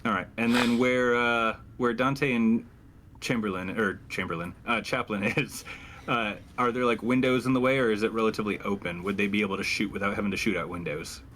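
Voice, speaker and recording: A man's voice: lowish voice, a little fast, fairly bright tone, a little hiss or hum. A man roleplaying as a captain in a tabletop game, giving orders and asking questions. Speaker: player as a squad captain.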